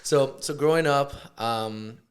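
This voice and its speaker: A man from Puerto Rico, a chiropractor, chatting casually in an interview, relaxed and hesitant with many fillers. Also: medium pitch, slow. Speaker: chiropractor, guest.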